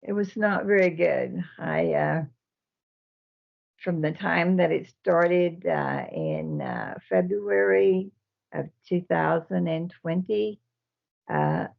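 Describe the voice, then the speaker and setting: An older woman from Texas, a care home resident answering interview questions in a slow, halting way with many fillers. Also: lowish voice, very animated, vocal fry. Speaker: nursing home resident and resident council president. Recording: dull lo-fi recording.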